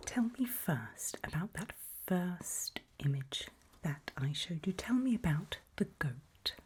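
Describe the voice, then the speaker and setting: A woman playing a calm, reassuring doctor in an ASMR roleplay, speaking in a gentle breathy whisper. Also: lowish voice, takes it slowly, full character voice. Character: calm reassuring female doctor running a creepy memory test.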